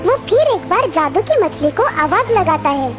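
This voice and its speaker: A woman's voice: very high-pitched, sing-song, moderate pace. A woman narrating a scripted children's story. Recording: music behind the voice.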